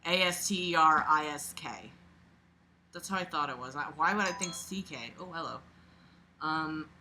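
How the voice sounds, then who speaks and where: A woman reading a book aloud as a host, casual and expressive, breaking off for sarcastic, sweary, mocking asides. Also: low voice, normal speed, noisy background.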